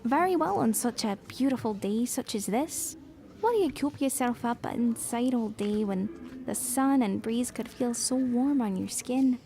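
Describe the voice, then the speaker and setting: Woman playing a high, mellow lovestruck young lady. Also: lively intonation, unhurried. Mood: coy, eager. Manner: coy, genteel, gushing. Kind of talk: period audio drama acting.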